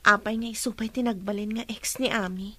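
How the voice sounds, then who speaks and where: A woman's voice: mid-range voice, fairly even intonation, fairly quick, fairly bright tone, dry recording, lightly acted. A woman playing a curious young nurse in an Ilocano radio drama, with an Ilocano accent. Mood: surprised.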